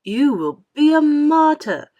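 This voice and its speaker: A woman's voice: high voice, big pitch swings, slow pace, mellow, voice pushed up, heavily acted. Woman acting a jeering, sardonic centipede. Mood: mocking. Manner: sardonic, dismissive. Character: jeering, scornful male centipede.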